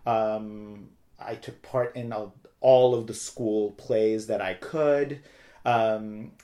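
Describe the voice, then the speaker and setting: A man's voice: fairly low pitch, steady tone, slow pace, warm tone. A talkative middle-aged man from Turkey, telling personal stories casually as an interview guest. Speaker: interview guest, theater director.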